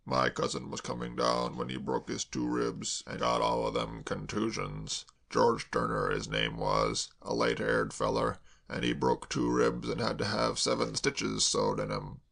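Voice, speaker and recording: A man voicing a mournful, doom-laden male servant, speaking slowly and heavily. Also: animated, creaky, a bit bright, exaggerated voice. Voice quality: deep, slow, heavy. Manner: mournful, dwells on disasters. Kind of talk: audiobook character voice.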